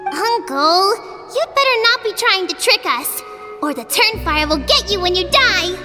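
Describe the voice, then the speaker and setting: A candid, excitable small child in a video game. The voice is tiny and very high, bright and bouncing in tune, with a long reverb around it. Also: female voice, a little slow. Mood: startled. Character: lively, candid, innocent young child. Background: music.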